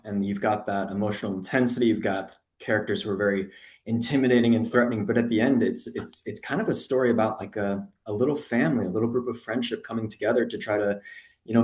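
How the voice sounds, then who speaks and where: Actor guy with a lowish voice, talking earnestly. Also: darkish tone. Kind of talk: interview answer.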